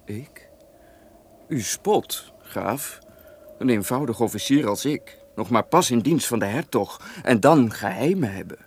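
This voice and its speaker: An earnest, proud officer in a radio drama. His mid-pitched voice is formal and courteous, with careful stage declamation. A faint noise lies over the old recording. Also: animated, unhurried. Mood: guarded. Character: earnest, guarded young officer, proud and honourable. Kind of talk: Dutch radio drama acting.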